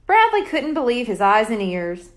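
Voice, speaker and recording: High, animated woman reading a children's story. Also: moderate pace. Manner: expressive. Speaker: children's audiobook reader. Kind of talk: scripted storybook narration.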